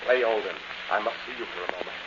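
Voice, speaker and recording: A man's voice: on the low side, fast, natural voice. An actor playing an earnest, protective man with an urgent, polite and clipped delivery in 1940s radio thriller style. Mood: hushed, urgent. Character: earnest, protective man warning a young woman of danger. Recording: dull, noisy old 1940s radio recording.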